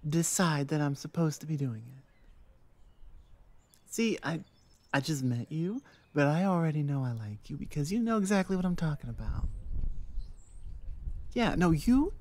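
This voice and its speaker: A woman's voice: sits low, dark tone, dry recording. A woman playing a rebellious young oni in a roleplay audio, with an exasperated, rambling, stop-start delivery. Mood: exasperated, venting. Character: rebellious young female oni venting about tradition. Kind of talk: roleplay audio acting, one-sided conversation.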